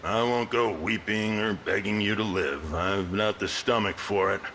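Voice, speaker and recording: Slow, low-voiced wry fantasy lord. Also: male voice, noisy background. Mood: weary, resigned. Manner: folksy, sardonic, archaic phrasing. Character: gruff, wry town lord and seasoned fighter, resigned. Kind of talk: video game fantasy acting.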